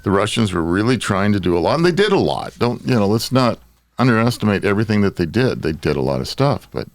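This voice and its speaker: A man's voice: on the low side, sing-song, fast, dry recording. An older man with an American accent, hosting a casual podcast panel chat. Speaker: podcast host.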